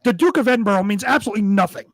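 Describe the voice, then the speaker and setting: A man's voice: high voice, very fast, no room sound. A man speaking as a talk show panelist, chatting casually and swearing freely. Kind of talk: casual, profane panel chat.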